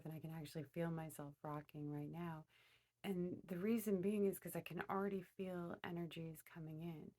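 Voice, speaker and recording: A woman with a fairly low voice guides listeners through a meditation. She speaks deliberately, often pausing partway through a phrase before carrying on. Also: darkish tone.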